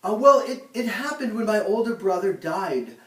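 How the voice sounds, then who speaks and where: A man plays a proud ancient pharaoh who boasts in a halting way, with many ums and restarts. The voice sits fairly high for a man. It sounds roomy and a little far from the mic. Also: animated. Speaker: guest in a mock interview. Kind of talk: amateur school-style role-play interview. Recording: echoey room, voice from a distance.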